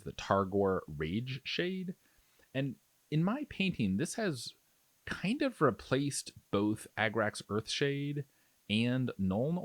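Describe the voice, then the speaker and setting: A man with a low voice and animated melody talks through a craft tutorial in a casual, explanatory way. The sound is close and dry, with no room around it. He comes across as an enthusiastic hobby instructor chatting as he demonstrates. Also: dry recording. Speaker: miniature painting instructor.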